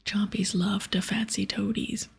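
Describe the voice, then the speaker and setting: A woman voicing a crude, hungry ogre hunter in a breathy whisper, with childlike broken grammar, for an ASMR game playthrough. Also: medium pitch, little pitch movement, faint music behind, full character voice. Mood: hungry, eager. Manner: broken baby-talk grammar, drawn-out words.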